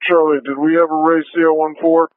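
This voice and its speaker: Over a tinny, dry police scanner channel, a man with a high-pitched voice puts short official queries. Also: level tone, a little fast, no room sound. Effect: police two-way radio. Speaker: police radio user. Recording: tinny, band-limited police scanner feed.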